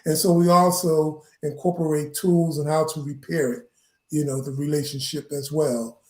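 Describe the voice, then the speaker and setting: A man's voice: fairly high pitch, level tone, normal speed. A man giving an earnest, instructive explanation in a conversational way, as a guest educator. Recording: echoey room sound.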